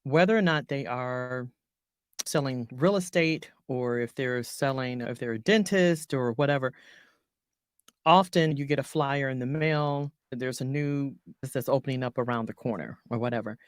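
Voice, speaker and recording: A woman voiceover artist talking through her business in an interview, halting often and correcting herself mid-sentence. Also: a bass voice, dry recording, clean studio sound. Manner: halting, many restarts and self-corrections. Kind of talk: conversational business coaching interview.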